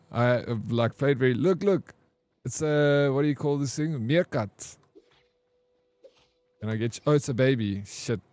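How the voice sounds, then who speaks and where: A young man with a Johannesburg South African accent, live-streaming a game and chatting excitedly with his chat in slangy, casual talk. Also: mid-range voice, unhurried, long pauses, no echo.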